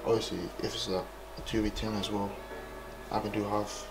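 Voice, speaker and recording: A man's voice: lowish voice, level tone, fairly quick. A young man with a British accent, chatting casually as a dating show contestant. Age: young adult. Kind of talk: casual chat. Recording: echoey, distant, music behind.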